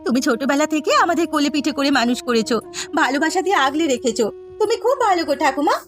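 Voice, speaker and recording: A woman's voice: sits high, lively intonation, fast, faint music behind. A woman voicing a poor, earnest young village housemaid in a cartoon story, with a plaintive, imploring manner. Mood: grateful. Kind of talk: Bengali cartoon story voice acting.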